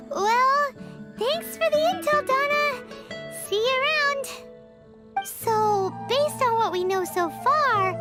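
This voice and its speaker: A woman voicing a small, cheeky, childlike fairy companion in a video game, squeaky and theatrically exclamatory. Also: heavily acted. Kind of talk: video game character acting. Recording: music behind the voice.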